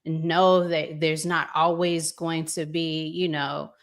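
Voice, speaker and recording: A woman hosting a casual relationship podcast, reflective and pausing to find her words. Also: deep voice, flat delivery. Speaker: podcast host.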